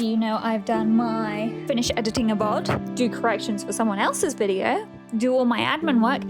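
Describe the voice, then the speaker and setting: Female vlogger, high voice, playful rambling delivery, casual creator narration with music behind. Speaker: video creator narrating own project. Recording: roomy echo, music behind. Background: background music.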